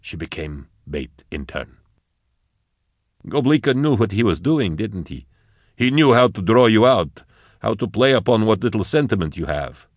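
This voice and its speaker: Man acting a bitter, grieving, low-voiced older agent. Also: sing-song, no room sound, clean studio sound. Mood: bitter, mournful. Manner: accusing, measured, rhetorical. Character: bitter grieving old male agent, accusing his commander. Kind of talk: audiobook character acting.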